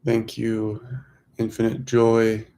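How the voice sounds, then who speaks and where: A man guides a meditation at a slow pace in a fairly low voice with a gravelly creak. He is soothing and unhurried, leaving long silences between short, simple phrases. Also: completely flat delivery, warm tone. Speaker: meditation guide, host of a weekly group.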